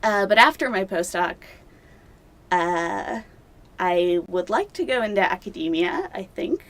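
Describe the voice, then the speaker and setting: A woman's voice: medium pitch, animated, unhurried, fairly bright tone. Chatty young woman with filler words. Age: young adult. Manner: chatty and self-deprecating, many fillers and laughs. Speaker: doctoral candidate interviewee. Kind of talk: casual interview.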